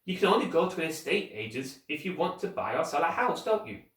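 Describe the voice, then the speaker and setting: A man's voice: fairly high pitch, very animated, fast, a bit bright, pitched above the performer's own voice. A man voicing a curious, eager young schoolgirl in a storybook, earnest and questioning. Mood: eager, anxious. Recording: roomy echo, distant microphone.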